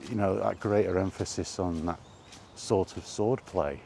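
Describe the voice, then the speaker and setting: Male, deep voice, slow halting delivery, casual off-the-cuff chat, faint outdoor noise behind. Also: gravelly, dark tone. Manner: halting, musing, repeats phrases. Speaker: host of a gaming chat series. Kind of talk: casual rambling monologue about video games.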